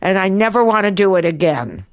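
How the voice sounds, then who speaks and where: A woman's voice: medium pitch, big pitch swings, a little fast, no room sound, studio quality. A woman voicing a timid, reluctant young boy ghost in a storybook, plaintive and emphatic. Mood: shaken, emphatic. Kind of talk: storybook character voice.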